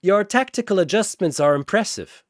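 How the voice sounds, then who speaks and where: Guy doing polite android officer, kinda high, precise n formal, curious, no emotion, sci-fi story. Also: expressive, normal speed, no room sound, studio quality. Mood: detached curiosity.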